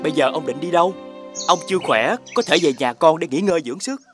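An actor playing a kind, humble young farmer prince in an animated fairy tale, earnest and respectful. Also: male voice, a little high, fast, over music, natural voice. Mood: caring. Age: young adult.

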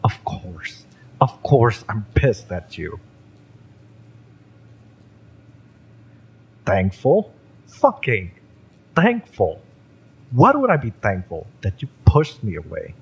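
A man's voice: fairly low pitch, huge pitch swings, slow, vocal fry, slightly rough, full character voice, rough recording. A man playing a fiery, bitter young mercenary in an audio roleplay, sardonic and taunting, with sighs and swearing. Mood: hurt, angry. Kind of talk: romance audio roleplay acting, addressed to the listener.

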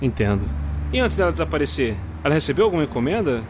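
A man's voice: fairly high pitch, very animated, quick pace, noisy background. A man playing a determined, methodical Brazilian police detective in an audio drama. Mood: earnest, persuasive.

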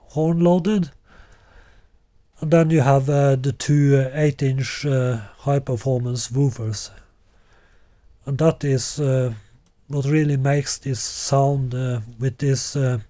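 A man in his sixties presenting a product in an unscripted way, halting and deliberate with long hesitations. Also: mid-range voice, warm tone, dry recording. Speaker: loudspeaker designer presenting a product. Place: small living room, indoors.

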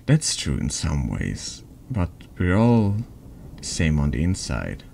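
A man reading a horror text adventure aloud in ASMR style, haltingly and stumbling over words, close to the mic over background noise. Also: a bass voice, huge pitch swings, vocal fry, a little mellow.

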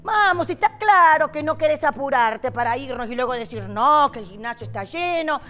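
A woman's voice: lively intonation, moderate pace, a bit bright. An actress playing a bossy older wife who nags and scolds her husband in a TV comedy sketch. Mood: scolding. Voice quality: high, shrill and scolding, with a voseo lilt. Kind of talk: sitcom sketch acting. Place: TV studio set, indoors. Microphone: lapel mic.

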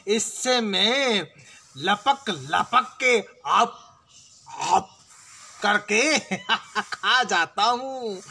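A man doing a bragging gravelly frog king. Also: high-pitched, heavily acted. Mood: playful. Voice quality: gravelly, laughing often. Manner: loud, playful, drawn-out bragging. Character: boastful male frog king, jolly and cheeky. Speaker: folk performer. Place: outdoors among trees.

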